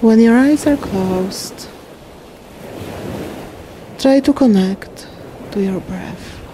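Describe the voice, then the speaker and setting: A woman guiding a meditation in a soothing, unhurried way, speaking in short phrases with long pauses. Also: fairly high pitch. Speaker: meditation guide. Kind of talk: slow guided meditation narration. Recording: steady wash of ocean waves and wind noise. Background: ocean waves.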